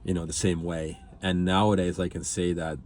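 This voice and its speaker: A man's voice: deep voice, level tone, moderate pace, darkish tone, soft background music. A man from Finland speaking reflectively as a guest in a conversational interview. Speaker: interview guest, entrepreneur and business coach.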